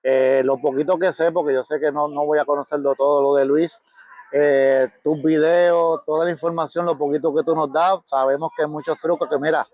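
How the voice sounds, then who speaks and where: A man's voice: fairly high pitch, fairly even intonation, moderate pace, darkish tone, no room sound, muffled recording. A Puerto Rican man hosting a casual interview, thanking and praising his guest with enthusiasm. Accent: Puerto Rican Spanish. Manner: enthusiastic, grateful. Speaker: interviewer, car channel host. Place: outdoors at a beach park car event.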